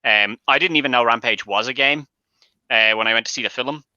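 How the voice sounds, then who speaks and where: A man's voice: mid-range voice, brisk, pushed and bright, no room sound. A man giving his opinions as a guest in a casual panel discussion. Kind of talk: casual chat. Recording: dry, dull lo-fi audio.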